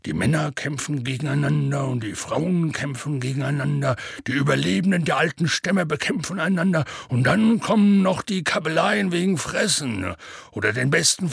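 A man voicing a big, rough, weary half-giant who tells his tale in a plainspoken, rambling, colloquial way, husky and gravelly, for an audiobook. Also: mid-range voice, a bit bright, no room sound, studio quality, full character voice. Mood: exasperated. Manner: colloquial, rambling, matter-of-fact storytelling. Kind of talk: audiobook character dialogue.

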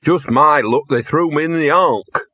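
A man voicing a cynical, maudlin little talking dog, hoarse and drunkenly slurred, in a comic fantasy audiobook. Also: fairly high pitch, a little slow, dry recording, muffled recording, pitched above the performer's own voice. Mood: maudlin self-pity. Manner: slurred, rambling, grumbling.

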